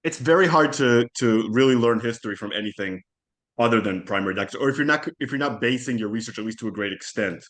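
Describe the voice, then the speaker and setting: A man whose first language is Hebrew, speaking as a podcast guest in a thoughtful, halting way with frequent restarts. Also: mid-range voice, a bit bright. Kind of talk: conversational interview.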